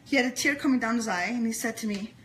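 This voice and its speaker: A woman's voice: a little high, fast talker, fairly bright tone, low-quality recording. A woman speaking as an interview guest, telling a personal story in an earnest, emphatic way with repeated phrases. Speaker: interview guest, fan club founder.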